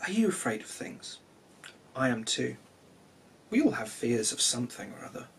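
A man in his thirties reading children's stories expressively, with deliberate dramatic pauses, recorded in a living room. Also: mid-range voice, very animated, slight background noise. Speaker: children's storyteller. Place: small cluttered living room, indoors.

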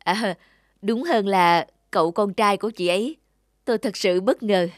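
A man's voice: high-pitched, dry recording, natural voice. Man acting a courteous, sincere cartoon businessman. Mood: modest, sincere. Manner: polite, measured, sincere. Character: courteous, sincere middle-aged businessman, gentle suitor. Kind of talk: animated moral drama acting.

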